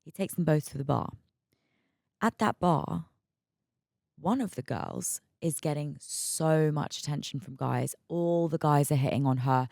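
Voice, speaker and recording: Very low, gravelly-voiced young woman. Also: measured pace, a little mellow, no echo, studio quality. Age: mid-twenties. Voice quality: raspy. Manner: chatty and candid, laughs often, repeats words. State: hungover. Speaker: podcast host. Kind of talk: casual solo podcast chat.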